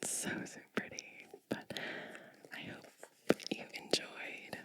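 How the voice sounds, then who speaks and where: A woman reading a picture book aloud as ASMR in a breathy, gentle whisper, lingering on phrases and adding little asides. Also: slow.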